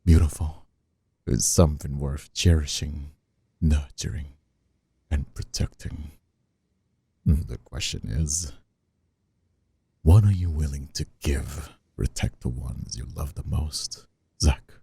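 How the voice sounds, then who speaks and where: Man acting a very deep, smug, menacing mob boss. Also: no echo, exaggerated voice. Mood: mocking menace. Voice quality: very deep, gravelly, dark, husky. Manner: slow, theatrical, mocking drawl. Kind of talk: ASMR audio roleplay villain acting.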